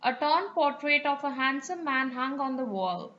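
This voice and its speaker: A woman narrating a children's fairy tale as an expressive, dramatic storyteller. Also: sits high, normal speed, dull audio.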